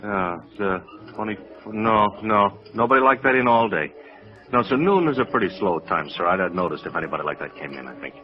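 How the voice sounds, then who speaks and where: An actor playing a polite, evasive bartender in 1950s radio drama, hesitant and deferential. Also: male voice, lowish voice, lively intonation, fairly quick, close to an everyday voice. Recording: dull vintage radio recording with music. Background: music.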